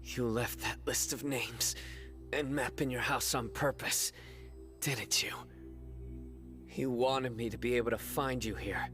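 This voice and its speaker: An actor playing a stern young male guardian who speaks in a terse, calm and resolute way in a game cutscene. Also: medium pitch, measured pace, long pauses, music in the background, natural voice. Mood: accusing.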